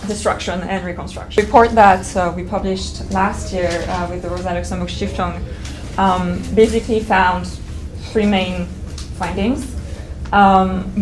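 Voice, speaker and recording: A woman presenting research findings on a panel, steady and factual with frequent uhs. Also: medium pitch. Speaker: study author, panelist. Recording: echoey noisy live recording.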